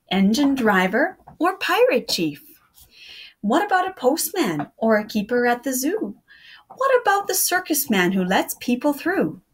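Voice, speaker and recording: A woman's voice: high-pitched, husky. A woman in her thirties chatting to young listeners and family and reading children's poems aloud as a storyteller. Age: 30s. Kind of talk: casual introduction and read-aloud of children's poems.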